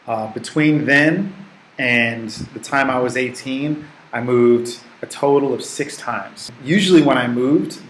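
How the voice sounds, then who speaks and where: A man in his forties, from the United States, answering interview questions in a relaxed, reflective way, with fillers and restarts. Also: medium pitch, roomy sound, rough recording. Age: 40s. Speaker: English teaching assistant.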